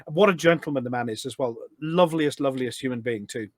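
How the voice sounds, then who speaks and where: A man's voice: mid-range voice, quick pace, no echo, studio quality. A man chatting casually as an interview guest, telling anecdotes in an enthusiastic, talkative way. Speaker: podcast guest, voice pack producer.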